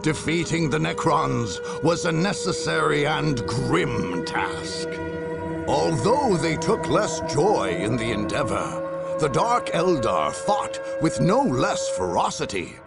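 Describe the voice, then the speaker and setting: An actor playing a grave, ominous chronicler of dark wars, narrating a video game cutscene theatrically and savoring the words. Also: male voice, on the high side, big pitch swings, takes it slowly, gravelly, full character voice. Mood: grim, ominous. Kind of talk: dramatic scripted game narration. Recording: music under the narration.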